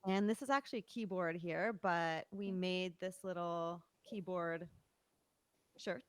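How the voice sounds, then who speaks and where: A woman's voice: fairly low pitch, fairly even intonation, a little slow, clean tone, no echo. A young woman, a musician talking casually as a guest in an interview. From Toronto, Canada. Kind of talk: casual chat.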